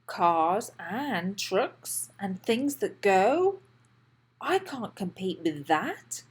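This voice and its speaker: A woman voicing an excitable, anxious and boastful toy dinosaur in a children's storybook, with a childlike, dramatic delivery. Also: medium pitch, extremely slow, husky, exaggerated voice. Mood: panicked. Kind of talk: children's storybook read-aloud.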